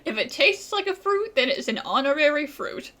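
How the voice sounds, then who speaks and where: A woman's voice: high voice, big pitch swings, moderate pace, pushed and bright. A woman giving casual, playful, giggly let's-play commentary as host of a gaming video.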